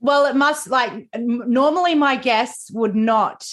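A woman's voice: a little high, measured pace. A woman with an Australian accent hosting a podcast interview, chatty and enthusiastic, often restarting her sentences. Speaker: podcast host.

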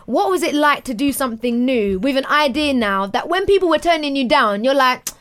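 A woman's voice: high-pitched, lively intonation, a little fast, a bit bright. A woman, chatting casually as a podcast host. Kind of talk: casual chat.

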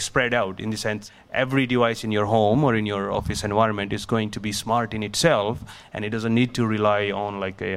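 A man's voice: lowish voice, creaky, fairly bright tone. A man who founded a startup, answering interview questions in a technical, explanatory way and often restarting his sentences.

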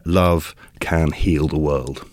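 A man's voice: a bass voice, steady tone, slow pace, heavy background noise. A man co-hosting a podcast, with a dry, joking manner. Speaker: podcast co-host. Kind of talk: podcast discussion. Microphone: studio mic.

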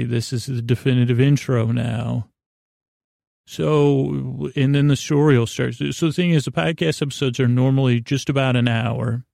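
A man hosting a bedtime podcast in a halting, digressive monologue, goofy and self-deprecating, with a creaky voice. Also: medium pitch, a little mellow, dry recording, clean studio sound. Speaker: podcast host.

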